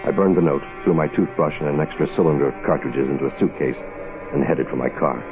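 Hard-boiled American detective, extremely low voice, wry, deadpan, laconic, narrow vintage radio sound. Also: male voice, fast talker. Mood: cool, brooding. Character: cool, wry hard-boiled male private investigator. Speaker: lead actor and narrator. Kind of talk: 1960s radio detective drama acting, first-person narration. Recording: muffled phone-like old radio, music behind.

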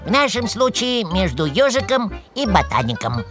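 Woman acting knowing cartoon mouse, instructive, cheerful, varied intonation, loud music behind. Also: medium pitch, big pitch swings, moderate pace, close to an everyday voice. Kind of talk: educational cartoon dialogue.

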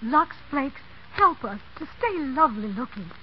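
A woman's voice: sits high, sing-song, a little slow. A woman playing a gleeful, gossipy talking hand in a 1930s radio commercial. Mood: gleeful, smug. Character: gleeful, vain talking female hands. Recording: noisy, muffled 1930s radio broadcast.

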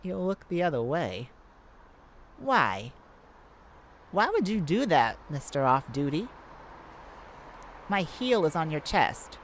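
A sassy female thief, acted by a woman in a flirty ASMR roleplay. Her voice sits fairly low and swings expressively as she teases with theatrical self-assurance. There is clear ambient noise behind her. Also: sing-song, exaggerated voice. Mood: brash, bold. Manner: teasing, sassy, theatrical, drawn-out purring delivery.

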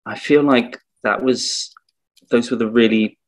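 A man's voice: mid-range voice, fairly even intonation, unhurried, lightly acted. A man playing a downcast, sentimental fellow with a hesitant, sighing delivery in improvised comedy. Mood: glum, wistful. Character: downcast, sentimental man mourning small lost rituals.

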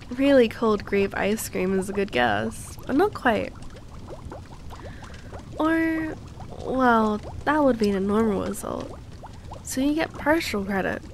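A woman playing a cute, kind and teasing young alchemist girl in an ASMR roleplay, speaking softly and soothingly close to the mic. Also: on the high side, slight background noise. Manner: soft, soothing, unhurried, playfully reassuring.

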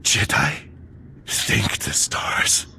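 An actor playing a mortally wounded, remorseful technician in a video game, weak, pained and short of breath. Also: male voice, extremely slow, sharp tone, slight background noise, heavily acted. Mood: relieved, anguished. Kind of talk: video game cutscene acting.

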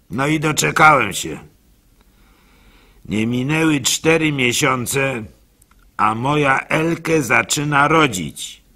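A gullible village fool in a radio play, telling his woes in a rueful, folksy way. The voice is bright and forceful with a creaky edge. He takes his time, sighing theatrically as he goes. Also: fairly high pitch, exaggerated voice. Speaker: radio drama actor. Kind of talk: first-person dramatic monologue storytelling.

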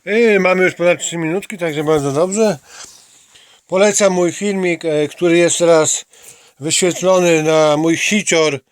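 A man's voice: a little high, steady tone, a little slow, clear, resonant tone, no room sound, studio quality. A man hosting a casual homemade interview, talking in a playfully mock-formal way with repeated slangy plugs for his channel. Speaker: amateur channel host interviewing a companion. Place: small home computer room.

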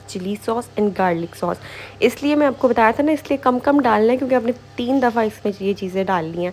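A woman's voice: medium pitch, a little mellow, low-quality recording. A woman giving a casual step-by-step recipe voice-over as a home cooking host, informal and repetitive, mixing in English kitchen words.

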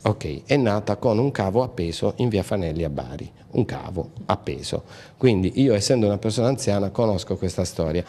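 A middle-aged man jokes and rambles through an interview. His voice is low and dark. He speaks into a handheld mic in a hall with a long tail of reverb. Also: lively intonation, brisk, hardly any pauses. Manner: joking, rambling, with many fillers. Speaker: show producer. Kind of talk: casual interview talk. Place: indoor hall.

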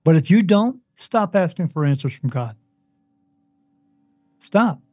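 A man from New Jersey, a spirit medium interviewed as a guest, talking emphatically and insistently, his voice soothing and deep. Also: lively intonation, slow pace, darkish tone, no echo. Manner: emphatic, insistent, swings up in pitch when stressing points. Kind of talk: interview, impassioned preaching monologue.

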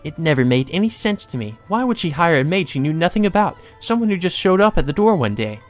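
A man's voice: fairly high pitch, very animated, faint music behind, pitched above the performer's own voice. A man voicing a deranged, lovesick teenage sister from a visual novel game, with theatrical, mocking, coaxing delivery. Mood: scornful. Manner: taunting, theatrical, drawn-out coaxing.

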